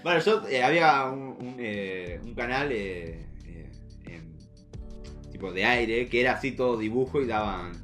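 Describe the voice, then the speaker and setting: A young man with an Argentine accent chatting casually as a podcast co-host, haltingly, often repeating words for emphasis. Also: mid-range voice, animated, a bit bright, soft background music. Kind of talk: casual nostalgic chat.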